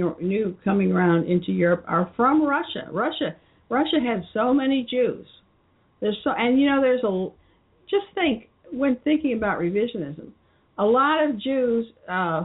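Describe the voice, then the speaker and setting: A woman hosting her own show, talking through an unscripted commentary in a halting way with many fillers and restarts. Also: medium pitch, slightly rough. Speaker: show host. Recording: muffled phone-line audio.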